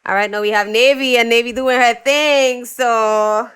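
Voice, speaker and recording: Smooth-voiced woman telling stories. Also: fairly high pitch, measured pace. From Dominica. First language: English. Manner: animated, acts out quoted voices. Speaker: singer-songwriter guest. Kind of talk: casual interview storytelling.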